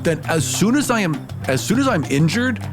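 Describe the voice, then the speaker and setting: A man's voice: high voice, big pitch swings, fairly quick, over music. A man playing a tabletop game, casually narrating what his character does.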